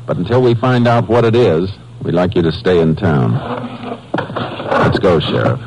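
An actor playing a steady, no-nonsense Texas Ranger in a radio drama, speaking in a terse, deliberate manner. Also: male voice, low-pitched, lo-fi sound. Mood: firm, probing. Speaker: lead actor and narrator. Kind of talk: 1950s radio drama acting. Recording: noisy, humming old radio recording.

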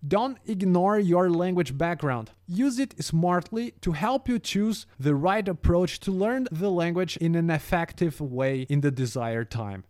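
A man whose first language is Brazilian Portuguese, narrating a prepared script about learning languages in a careful, steady way. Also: high voice, unhurried, hardly any pauses.

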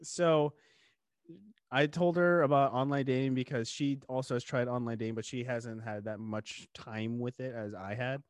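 A man's voice: medium pitch, fairly even intonation, moderate pace, clean tone, no room sound. Young male podcast host, loose filler-heavy chat, dry mic. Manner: rambling, filler-heavy, laughs often. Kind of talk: casual chat.

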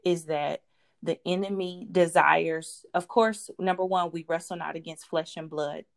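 A woman's voice: lowish voice, steady tone, measured pace, creaky. A married woman speaking as a podcast guest, giving an earnest faith-based testimony, often repeating words and restarting her sentences. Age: adult.

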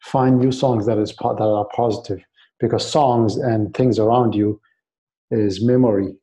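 Guest: man from Iraq, late 20s, earnest and halting, mellow low voice. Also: steady tone. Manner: earnest, repeats and restarts words. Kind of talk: casual interview.

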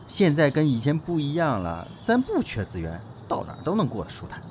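An exasperated male celebrity agent, with a mid-pitched voice that swings up and down freely. He is chatty and colloquial in this audio drama, with noise in the background. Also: a little fast. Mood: teasing, exasperated. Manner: colloquial, animated, wheedling.

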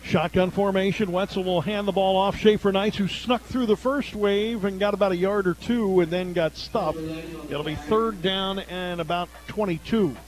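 A man works as a radio play-by-play announcer, calling a football game live. His voice sits high for a man as he follows the action down by down. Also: fairly even intonation, moderate pace, faint music behind, poor audio.

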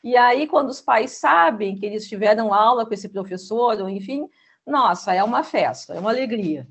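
A woman's voice: fairly high pitch, expressive, normal speed, a bit bright, slightly rough. A woman, answering interview questions about her school in a chatty way, with frequent fillers. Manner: chatty, uses many fillers like né. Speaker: school representative.

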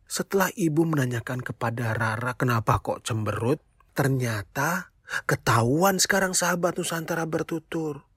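Storyteller, children's tale, playful, expressive, rhetorical questions, husky tone, dry close sound. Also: medium pitch, normal speed, dry recording. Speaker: children's storyteller, show host. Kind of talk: animated children's storytelling, addressing listeners.

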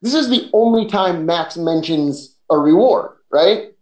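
A man's voice: high voice, animated, measured pace, a little mellow, roomy sound. A man in his early thirties co-hosting a casual movie podcast. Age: early 30s. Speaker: podcast co-host.

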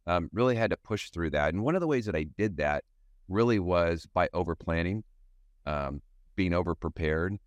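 A man's voice: low voice, expressive, normal speed, dry recording, muffled recording. A middle-aged man, a guest on an interview show, recalling his sales career in a thoughtful, storytelling way, often saying "you know" as he goes. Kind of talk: reflective interview answers.

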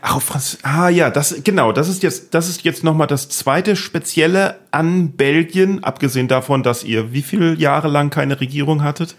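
A man's voice: on the high side, moderate pace, hardly any pauses. A man hosting a comedy podcast in casual chat, playful, repeating words for comic effect. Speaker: podcast host.

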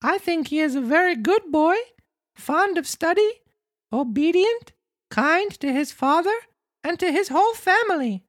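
A woman's voice: lively intonation, measured pace, dry recording, clean studio sound. A woman voicing a dramatic young wooden boy puppet in a storybook reading. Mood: earnest. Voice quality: squeaky. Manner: exclamatory, theatrical storybook delivery.

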